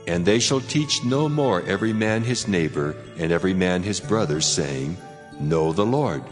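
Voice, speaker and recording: Very deep, reverberant voice of God. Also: male voice, lively intonation. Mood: solemn, resolute. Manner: measured, solemn, declamatory. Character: God, a solemn, fatherly, commanding male deity. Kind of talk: dramatized scripture reading. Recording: long cavernous reverb, music underneath. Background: music.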